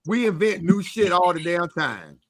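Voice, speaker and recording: A man's voice: sits high, expressive, normal speed, dry recording. A man hosting a casual live stream, bantering bluntly with plenty of swearing and teasing as he talks and reads out comments. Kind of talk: casual panel banter, reading out viewer comments.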